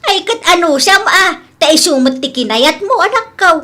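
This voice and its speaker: A woman's voice: very high-pitched, expressive, normal speed, pushed and bright, full character voice. A woman playing a caring mother who gives earnest, coaxing advice in a radio drama. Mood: concerned, coaxing. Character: caring, advising mother of a grown son. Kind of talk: Ilocano comedy radio drama acting.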